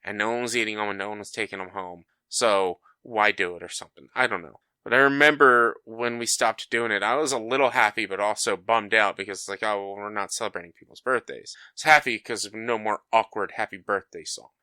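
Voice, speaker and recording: A man's voice: lowish voice, fairly quick, hardly any pauses, clean tone, no echo. A man in his thirties co-hosting a podcast, telling stories in casual chat, swearing freely and acting out what people said. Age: 30s. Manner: animated storyteller, swears freely, acts out quotes. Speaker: podcast co-host.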